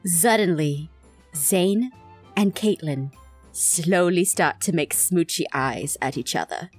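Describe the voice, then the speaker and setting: A woman's voice: on the low side, slow, breathy edge, soft background music. A woman hosting and narrating fan fiction in a playful storybook style, teasing and theatrical. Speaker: host and narrator.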